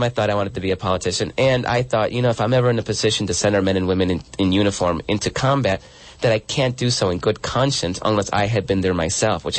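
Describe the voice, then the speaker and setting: A man's voice: low voice, dry recording. A man who grew up in El Salvador, answering interview questions earnestly as a guest author, often restarting and repeating words.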